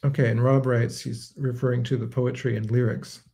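A man in his thirties, a composer, answering viewer questions on a livestream from his home study in a measured, reflective way, pausing and correcting himself as he thinks. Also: mid-range voice, flat delivery, creaky, dark tone. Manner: thoughtful, measured, with frequent fillers and self-corrections. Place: home study lined with bookshelves. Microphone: webcam framing at desk, livestream.

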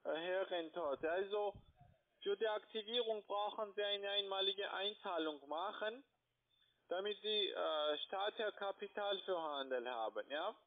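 A man with a foreign accent, not a native speaker, gives an insistent phone sales pitch in halting phrases. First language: not German. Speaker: call-centre investment salesperson. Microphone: phone call. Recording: tinny narrow-band phone line.

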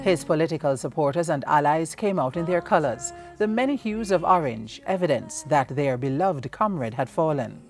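Low-voiced woman narrating formally. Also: animated. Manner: formal, solemn, measured. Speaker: news narrator, reporter. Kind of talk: scripted narration. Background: music under voice-over.

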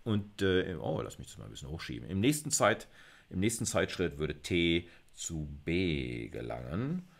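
A man's voice: sits low, sing-song, moderate pace, creaky. A middle-aged man giving an informal recorded lecture, thinking aloud and putting questions to himself as he explains. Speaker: university computer science lecturer.

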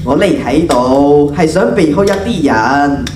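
A woman's voice: deep voice, expressive, measured pace, darkish tone, a little hiss or hum. A teenage girl with a Hong Kong Cantonese accent playing an earnest, wary elderly potter in a theatrical school radio drama. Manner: theatrical, exclamatory. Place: large auditorium stage. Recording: echoey hall from far off, music behind.

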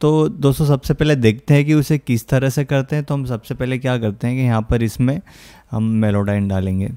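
A man talks a learner through music software in an informal tutorial. His voice is smooth and clean with a soft, mellow tone. He explains step by step, in a close, dry recording. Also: mid-range voice, steady tone, brisk, no room sound. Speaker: music production tutorial host. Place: close, dry indoor voice-over.

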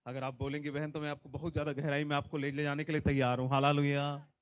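A man's voice: a little high, little pitch movement, fast. A man in his forties, a visiting preacher giving a sermon with prayer on a large conference stage into a handheld mic, fervent and emphatic, often calling out hallelujah. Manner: fervent, repeats hallelujah, loud emphatic bursts. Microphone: handheld microphone.

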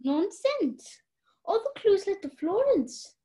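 A woman's voice: extremely high pitch, sing-song, slow pace, dark tone, exaggerated voice. A young woman acting a commanding, scheming society lady in a radio play. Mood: breezy, dismissive. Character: Lady Eleanor, commanding, scheming society lady hiding her crimes.

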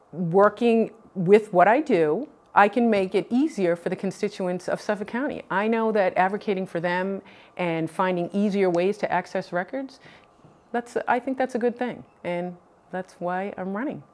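A middle-aged woman from Boston, a political candidate answering questions in a TV studio interview, earnest and measured, recorded on a lapel mic. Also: mid-range voice, a little mellow. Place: TV news studio.

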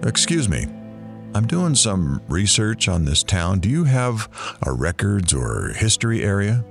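A man's voice: lowish voice, sing-song, a little slow, creaky, music in the background, heavily acted. A man playing a weary, wry investigator in an audio drama, sardonic and brooding. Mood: subdued. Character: weary, wry male investigator haunted by doubt. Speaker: lead character of an audio drama.

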